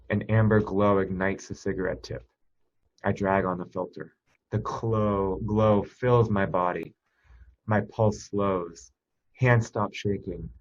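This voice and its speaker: Husky low-voiced man narrating suspense. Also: muffled recording. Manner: tense, expressive first-person delivery. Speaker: story reader. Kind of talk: dramatic scripted narration of a suspense short story.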